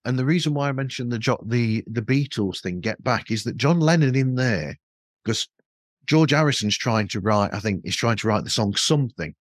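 A man's voice: medium pitch, lively intonation, moderate pace, dry recording. Male podcast host, mid-range voice, rambling anecdotal chat, dry sound. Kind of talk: casual conversational interview.

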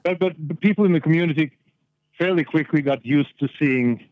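Norwegian guy on a phone-ish line, kinda high voice, candid and plain-spoken. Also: a little fast, dry recording. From Norway. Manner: candid, plain-spoken, repeats words when thinking. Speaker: podcast guest. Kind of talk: casual conversation. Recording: tinny phone-line sound.

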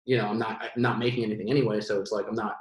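A man's voice: fairly low pitch, very fast, roomy sound. A man in his late twenties, a podcast guest, talking casually and at length with lots of restarts and fillers. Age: 20s. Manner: rambling, animated, many restarts. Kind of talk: casual conversation.

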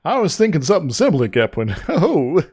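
A man co-hosting a casual podcast, getting over an illness with a sore throat, talking haltingly with many restarts and fillers. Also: on the high side, huge pitch swings, darkish tone, breathy edge. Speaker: podcast co-host.

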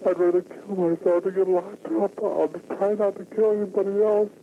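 A distraught, weepy man making a halting confession as an anonymous caller on an old, muffled phone recording. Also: sits high, fairly even intonation, vocal fry. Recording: noisy muffled archival phone call.

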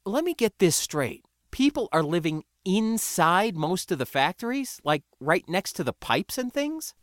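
Man playing an exasperated, animated spacer outsider. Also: high voice, measured pace, no room sound, clean studio sound, pitched above the performer's own voice. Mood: incredulous. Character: off-world spacer, incredulous, impatient, blunt outsider.

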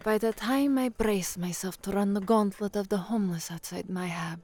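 A woman's voice: mid-range voice, moderate pace, darkish tone, natural voice. A woman playing an anxious, weary young trainee newsreader from the slums in an audio drama. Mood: weary, uneasy. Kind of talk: audio drama acting with first-person narration.

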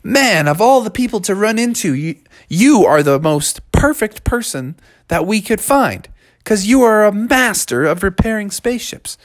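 A man voicing an earnest spaceman in a children's story, heartfelt, with drawn-out delivery. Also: sits high, big pitch swings, pitched above the performer's own voice. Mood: admiring. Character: earnest, amazed male spaceman reunited with old crewmate.

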